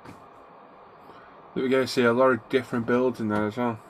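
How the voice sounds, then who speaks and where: A man's voice: medium pitch, flat delivery, fairly quick, a little hiss or hum. A middle-aged man, hosting a casual live stream from his hobby room. He sounds bunged up from a cold and greets his viewers by name as he chats. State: congested, getting a cold.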